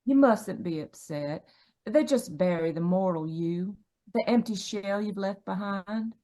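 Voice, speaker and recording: Gentle polite ghost wife lady acting it, medium pitch, calming, old radio mystery. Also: a little slow, natural voice. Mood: soothing. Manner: soothing, polite. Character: gentle, reassuring dead wife awaiting the afterlife.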